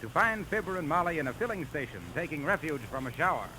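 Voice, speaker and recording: A man's voice: on the high side, expressive, fast talker, background noise. A man announcing a 1930s radio show with polished, florid showmanship, on a vintage recording. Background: loud music.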